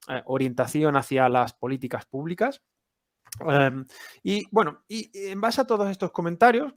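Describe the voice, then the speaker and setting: A man hosting an academic interview podcast, talking in a measured, hesitant way with many fillers and restarts. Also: medium pitch, no room sound, studio quality. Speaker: podcast host.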